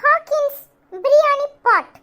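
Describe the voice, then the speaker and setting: A woman voicing a chirpy little cartoon child who presents products in a scripted promotion, with a pitched-up voice. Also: measured pace, a bit bright, muffled recording, a little hiss or hum.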